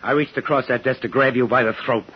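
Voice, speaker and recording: A man's voice: medium pitch, fairly even intonation, fast talker, slightly rough. An actor playing a bitter, relentless man in a 1950s radio drama, terse and sardonic. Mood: menacing anger. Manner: terse, sardonic, needling questions. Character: bitter, relentless man home after years, hunting a killer. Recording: muffled, noisy 1950s radio recording.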